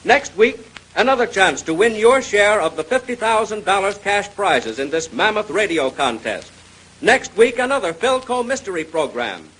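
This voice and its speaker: High-pitched, animated man announcing on old radio. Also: moderate pace, slightly rough. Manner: emphatic salesmanship. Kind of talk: 1930s radio contest announcing. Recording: noisy vintage radio recording.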